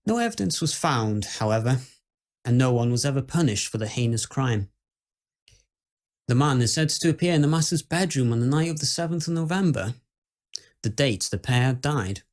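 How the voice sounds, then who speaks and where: A man playing a skeptical, uneasy Victorian gentleman who tells ghost stories in a formal, measured, old-fashioned way. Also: medium pitch, animated, lightly acted. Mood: grave, musing. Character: dread-filled, skeptical Victorian gentleman recounting house ghosts. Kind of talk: first-person dramatic ghost-story monologue.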